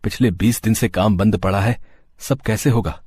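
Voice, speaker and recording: A man's voice: fairly low pitch, normal speed, mellow, breathy edge, dry recording. Man playing a humble, caring husband, close dry sound. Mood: worried. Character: hardworking, caring husband, humble sweet seller.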